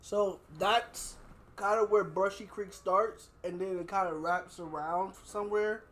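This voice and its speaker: A young man chatting casually as a podcast host, telling anecdotes in a slangy, animated way. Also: high voice, unhurried, a little hiss or hum. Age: young adult. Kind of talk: casual chat, storytelling.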